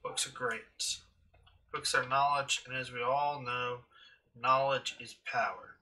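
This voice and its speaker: A man's voice: medium pitch, fairly even intonation, slow pace, sharp tone, rough recording. A man giving casual commentary as he plays through a video game. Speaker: gaming streamer.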